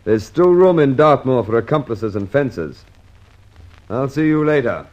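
Shrewd inspector for vintage radio drama, male actor, mid-pitched, lively inflection, wry and probing. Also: unhurried, natural voice. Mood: stern, sardonic. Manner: wry, probing, clipped questioning. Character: dry, shrewd police inspector, old schoolmate of the suspect. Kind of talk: old radio drama acting.

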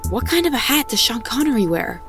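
A woman's voice: fairly high pitch, big pitch swings, brisk, fairly bright tone, faint music behind. An actress playing a wary, practical woman out camping in a naturalistic audio drama, matter-of-fact and insistent. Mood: wary, hushed.

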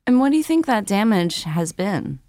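A woman's voice: mid-range voice, sing-song, normal speed, dark tone, dry recording. A woman hosting a podcast, giving a friendly, conversational scripted intro and asking interview questions. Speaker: podcast host.

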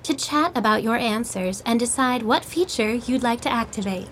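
Polite, impersonal AI assistant. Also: female voice, fairly high pitch, expressive, normal speed, a bit bright, slight background noise. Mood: polite, neutral. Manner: formal, courteous, impersonal. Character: polite, rule-bound female AI assistant in a social app. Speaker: virtual assistant and game moderator. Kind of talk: scripted audio drama, automated announcer delivery.

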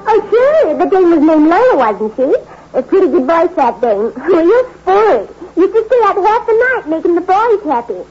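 Flirtatious nightclub dame, extremely high and lively, vintage radio drama with music and dull sound. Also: female voice, normal speed. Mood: gossipy. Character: brassy, flirtatious woman of the nightclub world. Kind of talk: old-time radio drama acting.

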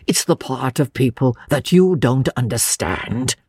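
A woman voicing an earnest old man in a novel, hot-tempered yet tender, speaking with slow, emphatic passion. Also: a bass voice, expressive, warm tone, breathy edge, dry recording, exaggerated voice. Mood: indignant.

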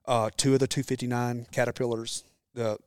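A man in his early forties talking casually as a guest about running his businesses, in a relaxed, rambling way. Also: medium pitch, brisk, clean tone, no echo, studio quality. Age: 40s. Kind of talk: casual conversation. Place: warehouse.

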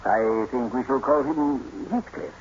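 A man's voice: mid-range voice, a little slow, a little mellow, close to an everyday voice. An actor playing a kindly but firm father and master of an estate in a 1950s radio drama, with a hesitant, paternal manner. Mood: gently firm. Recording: muffled, noisy old radio broadcast.

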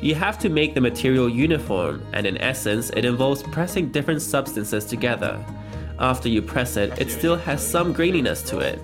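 A man with a middle-pitched voice gives a calm, explanatory voice-over that translates an interview. Loud music plays underneath him the whole time. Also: moderate pace.

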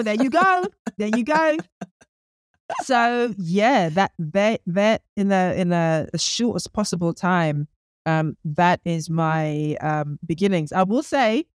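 London-accented woman, lively storyteller with fillers and laughs, dry close sound. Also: fairly low pitch, no room sound. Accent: London English. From United Kingdom. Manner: animated storyteller, laughs often, many fillers. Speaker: podcast host, guest. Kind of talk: casual interview chat.

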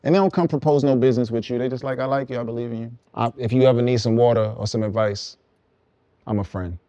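Relaxed man explaining in long analogies. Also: medium pitch, fast talker, no echo, dull audio. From Brooklyn. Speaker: songwriter guest. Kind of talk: casual podcast chat.

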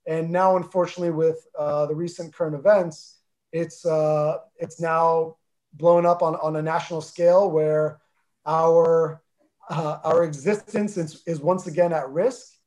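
A man with a New Jersey accent, who spoke Armenian first, talking earnestly as an interview guest and pausing often with fillers. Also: fairly high pitch, fairly even intonation, darkish tone. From New Jersey, USA. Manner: earnest, thoughtful, with many fillers and restarts. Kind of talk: casual interview talk.

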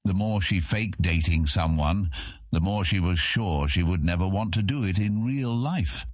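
A man's voice: low voice, a little slow, no echo, clean studio sound. A man reading a fantasy audiobook as its scripted narrator.

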